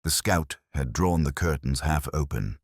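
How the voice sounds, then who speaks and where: A man reading a first-person literary detective mystery in a measured, reflective, melancholy way. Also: rumbling low, breathy edge, no echo, clean studio sound.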